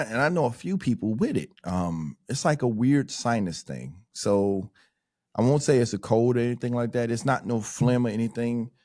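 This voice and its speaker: Podcast host guy, lowish voice, rambly casual chat full of likes and ums. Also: darkish tone. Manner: loose, rambling talk full of "like" and "um".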